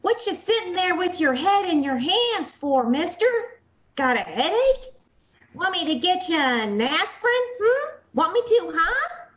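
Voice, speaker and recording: A woman's voice: very high voice, sing-song, measured pace, a bit bright, exaggerated voice. A woman playing an eager, inquisitive little neighborhood girl who gushes in childlike slang, in old-time radio comedy style. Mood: curious. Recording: roomy online call recording.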